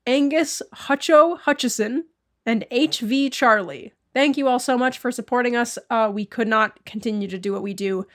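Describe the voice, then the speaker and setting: Slightly high-voiced woman reading podcast announcements. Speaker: podcast host reading patron thanks.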